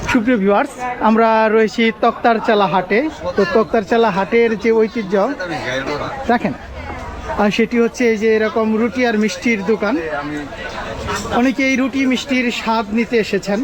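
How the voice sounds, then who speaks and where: Male vlog host, Bangladeshi accent, casual on-location presenting, clear crowd bustle behind. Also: very high-pitched, fairly even intonation, normal speed. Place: outdoor rural market. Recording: busy market crowd behind. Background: market crowd chatter.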